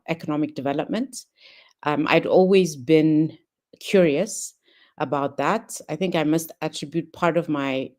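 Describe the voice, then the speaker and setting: A middle-aged woman from Ethiopia, a panel guest telling her personal story in a thoughtful way, pausing often with ums and restarts. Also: sits low, darkish tone.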